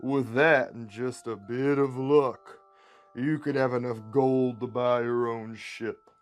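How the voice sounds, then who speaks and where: A man voicing a large, sinister catfish gambler in a suit, with a deep, slow Mississippi drawl and folksy, persuasive talk, as in a fantasy script reading. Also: fairly even intonation, soft background music, close to an everyday voice. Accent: Mississippi southern drawl.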